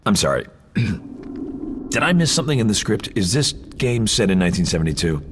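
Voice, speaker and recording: A gruff action-game hero with a very deep voice. He is cocky and sarcastic, tossing off wisecracks with a lively, swooping delivery. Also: moderate pace, slight background noise. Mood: sardonic, impatient. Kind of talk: video game voice acting.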